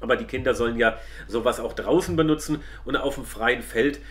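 A man's voice: medium pitch, fairly quick. A middle-aged man, casually explaining a product to viewers as he reviews it. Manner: explanatory, addresses viewers directly.

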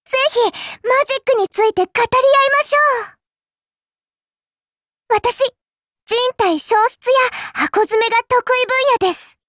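Very high-pitched, sly little fairy girl. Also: a little slow, pauses often, fairly bright tone, no echo, clean studio sound. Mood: sweetly eager. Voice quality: cutesy, girlish. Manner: polite, sweetly teasing, faintly condescending. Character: childlike, cute, sly fairy-like girl, politely mischievous. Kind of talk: Japanese mobile game character voice lines.

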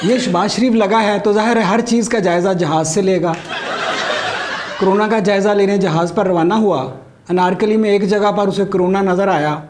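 High-pitched middle-aged Pakistani male satirist. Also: fairly even intonation, normal speed, noisy background. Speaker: stand-up comedian, satirist. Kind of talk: scripted political satire monologue. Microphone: lapel mic.

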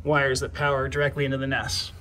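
Male DIY homeowner, medium pitch, halting informal tutorial delivery, outdoor recording with background ambience. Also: monotone, a bit bright, slight background noise. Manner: halting, repeats words while explaining. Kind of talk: casual hands-on tutorial. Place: outdoors by a house front door.

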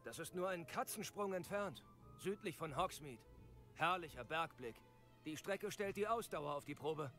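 An eager inventor shopkeeper in a video game, pretty high voice, very polite, faint music. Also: male voice, expressive, moderate pace, fairly bright tone. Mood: eager, earnest. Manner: polite, earnest salesman. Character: eager, earnest male broom shopkeeper and inventor. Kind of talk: acted video game dialogue. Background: background music.

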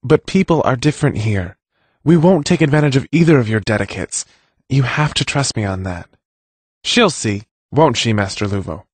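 A kindly young village man in a fantasy audiobook. He speaks earnestly with lively, swinging intonation, in a close dry recording. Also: mid-range voice, moderate pace, breathy edge, no echo. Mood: apologetic, earnest. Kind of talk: full-cast audiobook acting.

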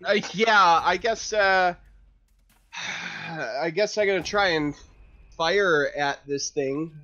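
A man's voice: sits high, takes it slowly, sharp tone, lo-fi sound. A man playing a tabletop game, chatting casually and hesitantly as he thinks aloud. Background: music.

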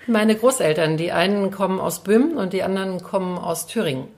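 A middle-aged woman from Hesse talks in a relaxed, chatty interview. She speaks at a middling pitch, lively and quick to laugh, with an easy conversational flow. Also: a little hiss or hum. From Hesse, Germany. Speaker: district mayor, interview guest.

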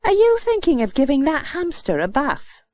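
A woman's voice: high voice, huge pitch swings, normal speed, darkish tone, no echo. A woman voicing a practical, doubting young mother with an exclaiming manner. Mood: worried, skeptical. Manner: exclaiming, skeptical.

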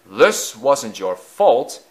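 A man's voice: medium pitch, sing-song. A man voicing a stern, authoritative male railway director in a storybook, speaking formally and firmly. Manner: formal, firm, measured orders.

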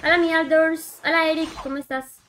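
A young woman with a high voice and a Mexican accent chats loosely with her stream while she plays. Her talk is slangy and drifts off mid-thought. Clear game noise of vehicles and helicopters runs behind her. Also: flat delivery, fairly quick, fairly bright tone. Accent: Mexican Spanish. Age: young adult. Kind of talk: casual livestream chat while gaming. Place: indoor room, alone. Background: mobile shooter game sounds, helicopter.

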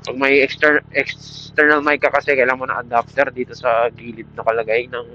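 A man's voice: mid-range voice, little pitch movement, a little fast, pushed and bright. A man with a Filipino accent giving a casual, informal product review as a motorcycle vlogger, talking outdoors into a helmet headset mic while riding. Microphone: Bluetooth helmet headset mic. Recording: muffled helmet intercom mic, road noise.

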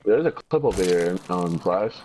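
A man's voice: low voice, expressive, moderate pace, warm tone, a little hiss or hum, low-quality recording. A young man trash-talking over game voice chat, taunting and mocking with repeated jabs. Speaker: gaming YouTuber.

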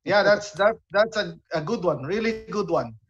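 High-pitched Filipino man, dull audio. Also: lively intonation, normal speed. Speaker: show co-host, interviewer. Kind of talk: casual interview questions in English and Tagalog. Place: indoor room, video call. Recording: dull lo-fi video call audio.

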